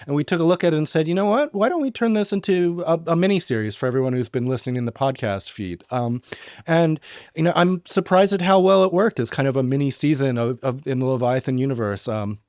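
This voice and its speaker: A man's voice: on the high side, animated, fast talker, darkish tone, no room sound. Man hosting a podcast, dry close sound. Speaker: podcast host introducing an audio drama episode. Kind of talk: casual scripted-free intro chat.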